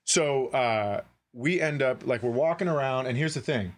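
Animated man telling comic stories, swooping pitch. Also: normal speed. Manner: animated, acts out dialogue in his stories. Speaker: comedy podcast co-host. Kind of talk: comic storytelling, casual banter.

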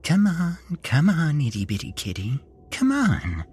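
A man's voice: fairly high pitch, huge pitch swings, unhurried, darkish tone, slightly rough, voice pushed up, full character voice. A man voicing a giant, creepy homeless man, timid and childishly gleeful, with a lisp and a sing-song coaxing delivery. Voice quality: lisping.

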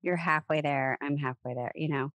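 A woman's voice: low-pitched, moderate pace, fairly bright tone, no echo. A woman chatting casually with a friend and co-worker, rambling and breaking off her sentences. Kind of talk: casual chat.

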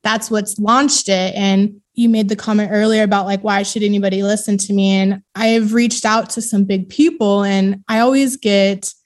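A woman's voice: medium pitch, steady tone, normal speed, hardly any pauses. A young adult woman telling personal stories casually as an interview guest, informal and self-deprecating. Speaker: podcast guest, therapist.

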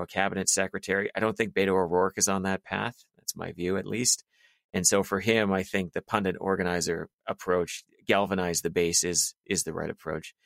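A man co-hosting a political panel chat, speaking in a measured way and thinking his argument through aloud. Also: sits low, gravelly, a bit bright, dry recording. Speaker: co-host.

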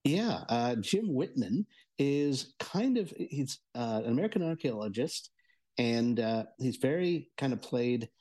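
Man, mellow soft-edged timbre, mid pitch, casual reviewer, halting, repetitive phrasing. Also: lively intonation. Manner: halting, hesitant, repeats words. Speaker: audio entertainment and tech contributor.

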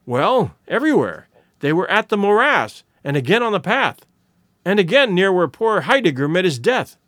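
A man voicing a detective's loyal, plain-spoken companion in an audiobook story, answering in a measured, puzzled way. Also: high voice, sing-song, fairly bright tone, no echo, studio quality.

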